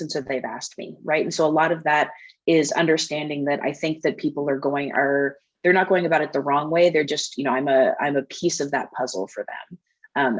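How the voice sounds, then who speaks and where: A woman's voice: low voice, low-quality recording. A woman from the United States, a guest in an interview, talking in an earnest, thoughtful way. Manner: earnest, thoughtful, frequent fillers and restarts. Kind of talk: casual interview conversation.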